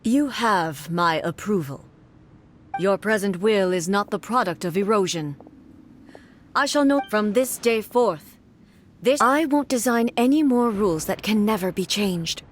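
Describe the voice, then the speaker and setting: A woman voicing a stern, composed female god ruler who speaks slowly and solemnly. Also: medium pitch, lively intonation, a little hiss or hum, natural voice. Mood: calm. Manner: slow, solemn and measured. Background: game soundtrack music.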